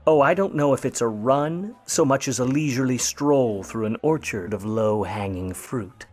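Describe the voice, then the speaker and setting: A man's voice: mid-range voice, normal speed, darkish tone, soft background music, natural voice. A man playing a grudging, wisecracking private eye, needling and slangy, in a noir radio play. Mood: sardonic, amused.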